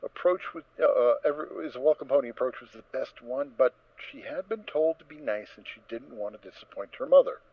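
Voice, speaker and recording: A man's voice: mid-range voice, brisk, hardly any pauses, no echo, muffled recording. A man narrating a scripted story in a dramatic reading style.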